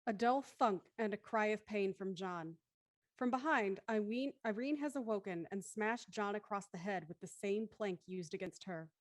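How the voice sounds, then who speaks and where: A woman's voice: mid-range voice, moderate pace, dry recording, close to an everyday voice. A woman acting as the intent narrator of an audio thriller, reading dramatic scripted narration. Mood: intent storytelling.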